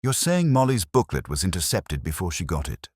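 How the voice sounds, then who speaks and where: Man playing a puzzled male sergeant, deep voice, lively intonation, tentative questions, audio mystery sidekick. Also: a little fast, slightly rough, dry recording, clean studio sound, heavily acted. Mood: puzzled, questioning. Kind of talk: audio mystery story dialogue.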